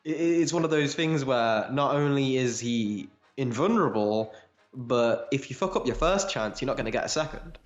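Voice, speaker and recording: A man with a medium-pitched voice talks through his points in a casual, analytical way, like a podcast co-host. The recording is clearly roomy and a little dull. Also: lively intonation, moderate pace, faint music behind. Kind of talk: casual analytical fan discussion. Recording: roomy echo, slightly dull lo-fi sound.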